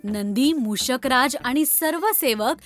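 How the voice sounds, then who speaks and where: A woman's voice: high voice, slow pace, faint music behind. A woman narrator reading a scripted story for children.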